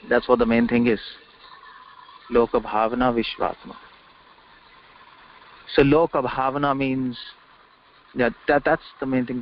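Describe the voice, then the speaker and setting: A man giving an informal scripture lecture over a conference call, repeating words and asking rhetorical questions. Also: medium pitch. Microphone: conference call line. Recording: dull dry conference call audio.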